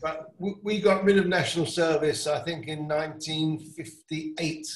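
A man's voice: fairly high pitch, fairly even intonation, normal speed, roomy sound. An older man, a congregant, asking a reflective question on a video call.

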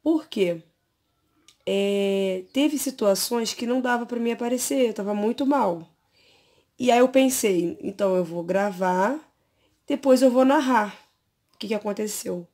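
A Brazilian woman in her thirties, hoarse from an allergy, chatting casually to her viewers from her bedroom with a phone camera close to her face. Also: medium pitch, a little slow, warm tone. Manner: chatty, hesitant, many fillers. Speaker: beauty vlogger. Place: bedroom at home, lying on pillows.

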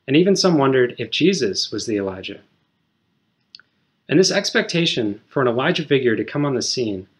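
A man in his thirties giving a measured, scripted short sermon in a small indoor room. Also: medium pitch. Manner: measured, explanatory, reads scripture aloud.

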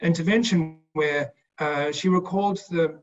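A man with a slightly high voice reflects as a panelist on a video call. His delivery is measured and halting, with words often repeated. The audio is a little dull. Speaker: panel speaker. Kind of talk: reflective recollection in a panel discussion. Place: indoor room, video call. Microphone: video call microphone.

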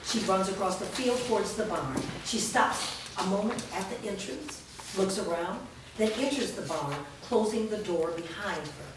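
A woman's voice: medium pitch, normal speed. A woman reading stage directions as narrator at a staged play reading. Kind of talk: scripted narration. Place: indoor performance room, seated before an audience. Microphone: far camera. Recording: distant mic, very echoey noisy room.

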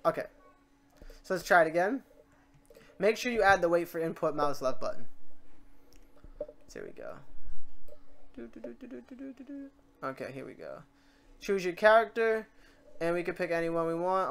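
An informal tutorial host talking through software steps casually, muttering asides and self-corrections while working. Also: medium pitch, vocal fry. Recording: noisy room, keyboard typing and clicks. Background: keyboard typing, mouse clicks, background noise.

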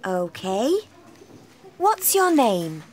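A woman voicing an adult teacher at a school club desk, asking questions slowly and very clearly in a scripted textbook dialogue. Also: medium pitch, big pitch swings, a little mellow. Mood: polite. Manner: slow, clearly enunciated questions. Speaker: textbook audio dialogue reader.

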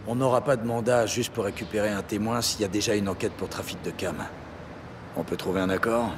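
An actor playing a streetwise police detective in a French audio drama, direct and businesslike. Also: male voice, lowish voice, steady tone, brisk, background noise, natural voice. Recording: music and urban ambience behind.

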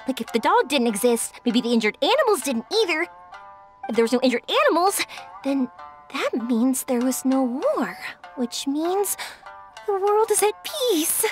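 A woman's voice: high voice, big pitch swings, normal speed, faint music behind. A woman voicing a bubbly, cheerful young festival girl in a video game. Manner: bubbly, musing.

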